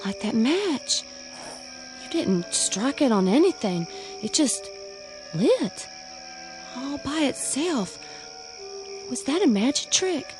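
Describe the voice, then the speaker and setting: Young country bride-to-be, earnest, hesitant, slow delivery, music and crickets behind her. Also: high voice, sing-song, full character voice, rough recording. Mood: puzzled. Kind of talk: radio drama acting.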